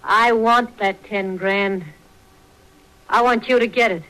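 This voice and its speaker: A woman's voice: mid-range voice, fairly even intonation, slow pace, a bit bright, poor audio. An American actress playing a scheming, greedy gangster's girlfriend in a 1940s radio drama, with slangy, bossy talk. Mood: cold, commanding. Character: scheming, hard-boiled gangster's girlfriend, greedy and two-faced.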